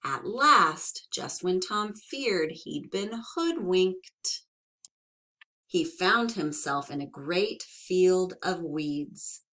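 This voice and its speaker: A young woman teacher reading a storybook aloud to children, slowly and expressively. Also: lowish voice. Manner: slow and expressive, asks the listeners questions.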